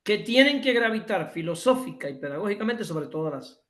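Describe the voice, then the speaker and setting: A man's voice: sits high, expressive, brisk, a bit bright, echoey room. A man from Cuba with a Cuban accent, giving an emphatic online lecture over a video call, restating his points and correcting himself often. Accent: Cuban Spanish.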